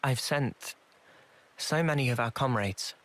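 Game role: thoughtful young male soldier, played by a man, medium pitch, subdued and sombre. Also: measured pace, a bit bright, lightly acted. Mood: sombre, reflective. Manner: subdued, reflective. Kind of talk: video game cutscene acting.

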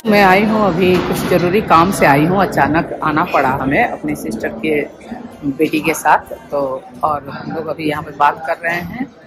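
A woman's voice: sits low, steady tone, measured pace, music in the background, poor audio. Chatty grandmother in her sixties. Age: 60s. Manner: chatty, talks to viewers and the grandchild. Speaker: vlogger, retired grandmother. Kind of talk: casual family vlog narration. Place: home and shopping mall, indoors. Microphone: phone held at arm's length.

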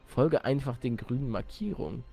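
A man voicing a calm, self-assured mad professor in a video game, speaking in a knowing, formal, explanatory manner. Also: medium pitch, normal speed, gravelly, faint music behind.

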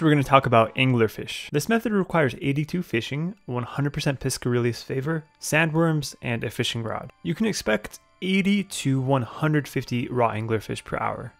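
A man's voice: mid-range voice, lively intonation, normal speed, vocal fry, hardly any pauses. A young man narrating a video game tutorial in a plain, matter-of-fact way, with the odd "uh". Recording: faint background music.

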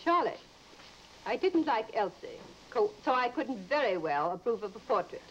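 A woman's voice: fairly high pitch, sing-song, normal speed. A woman playing an outspoken, disapproving older mother-in-law in an old radio drama. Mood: disapproving, emphatic. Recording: muffled, hissy vintage recording.

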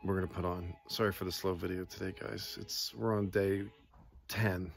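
A middle-aged man talks into a phone held at arm's length outdoors, his voice fairly low. He chats casually and pokes fun at himself, often trailing off with ums while he searches for a word. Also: little pitch movement, normal speed, gravelly, faint music behind. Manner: chatty, self-deprecating, fumbling for words. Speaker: vlogger fixing his classic car. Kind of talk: casual road-trip vlog. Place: outdoors in a sunny desert yard. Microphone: handheld phone camera at arm's length.

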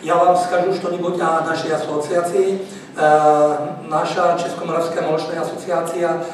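Male speaker, fairly high voice, stumbling phrasing, distant echo in a big hall. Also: level tone, a little hiss or hum. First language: Czech. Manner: halting, apologetic about his Russian. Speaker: association chairman. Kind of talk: formal presentation. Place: large conference hall. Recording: echoey hall from far off.

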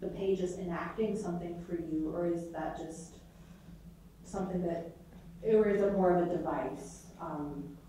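A woman in the audience puts a long, winding question to the speaker, pausing often. Her voice is warm and mellow, a little low. It is heard from a distance in a very echoey room. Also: fairly even intonation, a little hiss or hum. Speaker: audience member asking a question. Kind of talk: asking a question at a talk. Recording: echoey room picked up from afar.

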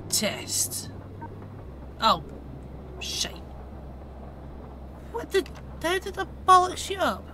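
A woman's voice: very high-pitched, very animated, drawn-out words, long pauses, pushed and bright, a little hiss or hum. A woman streaming a game live, muttering commands aloud and swearing at it. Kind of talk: live gameplay commentary.

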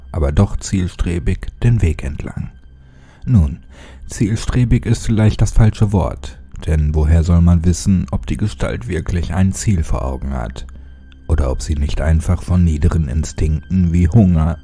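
Male narrator for creepy audiobook channel, deep register, husky and heavily mellow, unhurried. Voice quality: husky, dark, low. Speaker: horror story narrator and channel host. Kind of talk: casual channel address, then dramatic horror audiobook narration.

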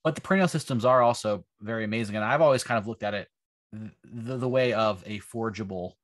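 A man's voice: on the low side, fast, no echo. A young man co-hosting over a laptop video call from home, speaking loosely and hesitantly and letting his sentences trail off. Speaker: co-host. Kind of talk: casual video-call conversation. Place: home room, indoors. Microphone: laptop webcam on a video call.

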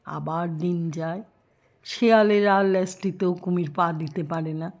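A woman telling a children's story expressively, with drawn-out emphasis and rhetorical questions. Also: fairly low pitch, steady tone, darkish tone, lo-fi sound.

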